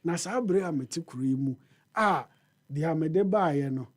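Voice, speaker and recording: Man, slightly high voice, interjecting panelist. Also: lively intonation, moderate pace. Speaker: panel guest. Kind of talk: talk show banter.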